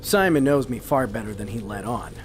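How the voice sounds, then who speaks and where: Male actor as seasoned agent, wary, serious, dryly exasperated, average pitch, audio drama, faint vehicle ambience. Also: lively intonation, fairly quick, a little hiss or hum, close to an everyday voice.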